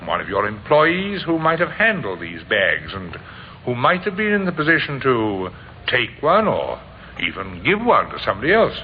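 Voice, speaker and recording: An actor playing a courteous, probing police inspector in an old radio drama, with hesitant, polite questioning. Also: male voice, mid-range voice, wildly swooping pitch, moderate pace. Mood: probing, grave. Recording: tinny, noisy old radio recording.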